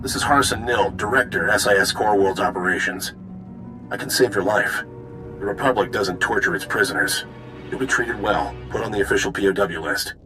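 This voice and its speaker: Actor as a low-voiced, authoritative intelligence director. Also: male voice, a little fast, music in the background, natural voice. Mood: firm, persuasive. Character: authoritative male intelligence director urging surrender, measured and official. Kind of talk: video game voice acting.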